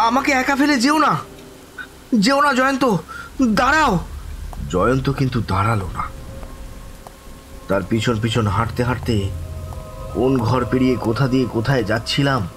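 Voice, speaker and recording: A man playing a terrified, distraught survivor who tells his ghost story in a halting, dramatic way. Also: mid-range voice. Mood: panicked, pleading. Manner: halting, dramatic, repeating words, breaking into desperate cries. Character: terrified, distraught man recounting a haunting he lived through. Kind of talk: dramatized first-person horror narration.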